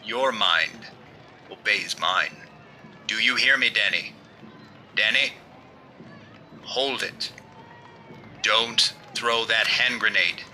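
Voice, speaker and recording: Man acting a mysterious avenger, very sharp forceful voice, slow deliberate pacing, commanding, ominous, solemn, old-time radio thriller. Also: fairly low pitch, slight background noise, exaggerated voice. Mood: commanding, hypnotic. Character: commanding, ominous mysterious male crime-fighter. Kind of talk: 1930s radio drama acting.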